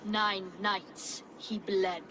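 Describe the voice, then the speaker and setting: Solemn chanting woman, very slow, distant, noisy game scene. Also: mid-range voice, little pitch movement.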